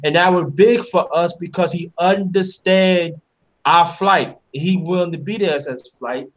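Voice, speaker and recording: Host, man, 50s, high voice, earnest, repeats openers. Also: measured pace. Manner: earnest, repeats words when starting sentences. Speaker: channel host interviewing a guest. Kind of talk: casual interview chat.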